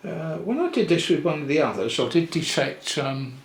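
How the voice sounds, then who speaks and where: An older man giving a casual hands-on repair tutorial, thinking aloud in an unhurried way with pauses. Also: fairly high pitch, animated. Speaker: electronics repair presenter. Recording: roomy echo with faint background noise.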